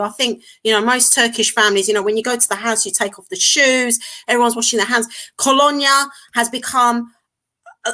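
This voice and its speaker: Woman, from North London, talk host, chatty, bright forceful tone. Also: a little high. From North London, England. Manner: chatty, laughs often, reads out viewer comments. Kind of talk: casual discussion.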